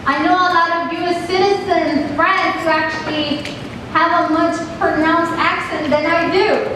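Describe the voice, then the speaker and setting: A high-voiced woman from Mexico speaks with a Mexican accent, earnest and direct as she puts questions to a class. She is picked up from far off in a very echoey classroom, with clear background noise. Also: steady tone, a little slow. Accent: Mexican Spanish. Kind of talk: classroom presentation.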